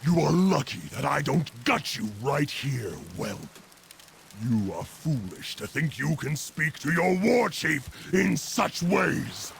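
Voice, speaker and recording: A proud, belligerent orc war chief for a video game cinematic. His voice is heavily gravelled and slow, with commanding contempt in it. There is faint noise and ambience behind him. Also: fairly high pitch, expressive, exaggerated voice. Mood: menacing contempt.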